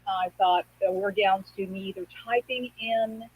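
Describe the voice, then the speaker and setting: A woman's voice: medium pitch, steady tone, moderate pace, slightly rough, no echo, muffled recording. A woman co-host talking casually through an internet voice call, explaining things in plain conversational speech. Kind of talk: casual troubleshooting chat. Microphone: call-in over a messenger app held to a speaker.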